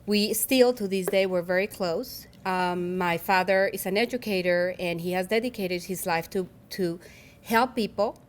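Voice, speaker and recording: A woman's voice: lowish voice, moderate pace, a little hiss or hum. A woman in her forties with a Colombian Spanish accent, giving a personal keynote speech at a luncheon in an earnest, reflective way, often restarting her phrases. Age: 40s. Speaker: keynote speaker.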